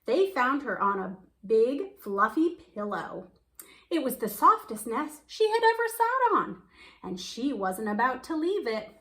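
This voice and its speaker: A woman's voice: fairly high pitch, sing-song, measured pace, fairly bright tone. A woman reading a picture book aloud, telling the story expressively and stretching words for emphasis. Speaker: children's storybook reader.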